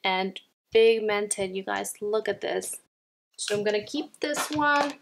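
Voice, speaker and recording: This is a woman with a Ukrainian accent, talking through her makeup in a relaxed, chatty way. Her pitch sits in a middle range, and she often speaks straight to her viewers. She is recording indoors at home. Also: slow pace, creaky, background noise. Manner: chatty, often addresses viewers as "you guys". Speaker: beauty vlogger. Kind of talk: casual makeup declutter chat.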